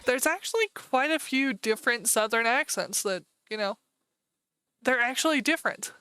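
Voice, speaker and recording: A woman's voice: fairly high pitch, lively intonation, normal speed, bright, forceful tone, clear, resonant tone. A woman with a Michigan accent streaming live from home, chatting casually and rambling with lots of "like" and "you know".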